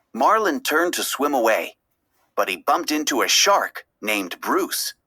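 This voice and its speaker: A woman reading a children's story aloud as an expressive, dramatic narrator. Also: very deep voice, slightly rough. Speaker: storybook reader.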